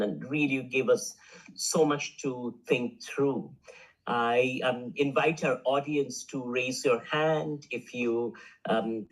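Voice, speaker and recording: A man's voice: medium pitch, low-quality recording. A man moderating a webinar Q&A on a video call, politely guiding the audience. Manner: polite, unhurried instructions.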